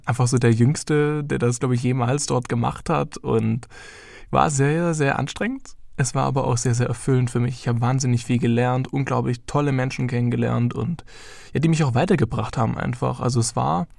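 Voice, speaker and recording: A man's voice: medium pitch, steady tone, normal speed, gravelly, a little mellow, no echo, studio quality. A young man in his early twenties hosting his own podcast, talking casually and rambling with lots of fillers. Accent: German. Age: young adult. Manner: chatty, rambling, with many fillers. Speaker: podcast host.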